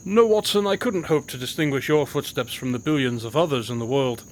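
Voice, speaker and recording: An actor playing a shrewd, composed detective in an audio drama, with formal, precise, theatrical diction. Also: male voice, mid-range voice, fairly quick, darkish tone, slight background noise, natural voice. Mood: composed, explaining. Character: shrewd, composed male detective, commanding and quick-witted.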